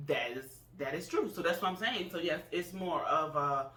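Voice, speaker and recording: A man's voice: a little high, expressive, moderate pace, sharp tone. A man around forty, co-hosting a casual political chat, talking earnestly with frequent restarts. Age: 40s. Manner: earnest, hesitant with many restarts. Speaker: co-host. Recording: echoey room, voice from far off.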